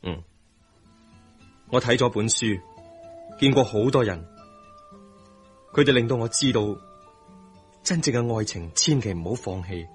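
An actor with a Hong Kong Cantonese accent playing a tender, remorseful young husband in a radio drama, earnest and emphatic. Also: male voice, mid-range voice, expressive, measured pace, pauses often, lightly acted. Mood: earnest, ardent. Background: music.

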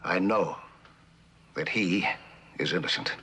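An American man in middle age playing an earnest, conscience-stricken company man in a 1960s TV drama, speaking haltingly and soberly. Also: low-pitched, noisy background. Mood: halting, grave. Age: middle-aged. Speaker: actor playing a witness. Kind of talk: 1960s television drama acting.

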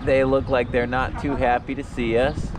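A man's voice: mid-range voice, level tone, normal speed, noisy background. A man narrating an educational nature video. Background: music.

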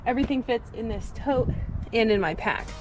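A woman's voice: fairly high pitch, animated, normal speed, music in the background. A woman in her thirties chatting upbeat to camera while packing inside a small camper van. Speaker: vlogger. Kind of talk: casual vlog narration.

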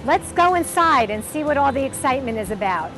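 A woman's voice: high voice, very animated, a little fast, rough recording. A middle-aged woman hosting on location with a handheld mic, bubbly and exclamatory as she interviews people and tours an exhibit. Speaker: host and interviewer. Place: outdoors on a city street and inside an exhibit. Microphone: handheld microphone. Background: music.

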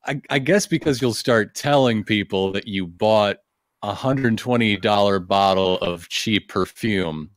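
A man's voice: fairly low pitch, measured pace, no echo. A man reading aloud on a podcast, adding joking asides. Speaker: podcast reader.

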